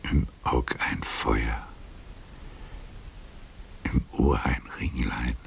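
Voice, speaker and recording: An actor playing an aged, greying cavalier in a radio drama, weary, wry and dreamy, with a gravelly, husky, breathy voice and a poetic, theatrical way of speaking. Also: male voice, extremely low pitch, drawn-out words, a little hiss or hum, heavily acted. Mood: dreamy, astonished. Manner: theatrical, poetic, musing half to himself. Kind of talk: literary radio drama acting.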